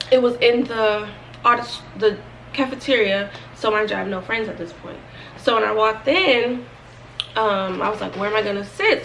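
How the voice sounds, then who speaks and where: Young woman, early 20s, casual storytime vlogger, chatty and rambling, gravelly fry, slightly distant mic. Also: fairly high pitch, a bit bright, a little hiss or hum. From Florida, United States. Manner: chatty, rambling, with many fillers and restarts.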